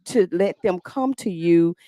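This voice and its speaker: A woman co-hosting a health talk show, speaking quickly and emphatically. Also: fairly low pitch, mellow, no echo. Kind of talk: casual talk show chat.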